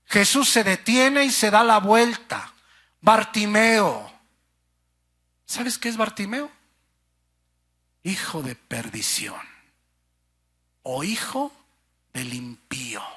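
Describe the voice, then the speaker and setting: A man preaching a sermon with passion, calling his listeners brother and sister and swinging between fervent cries and hushed low phrases. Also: breathy edge.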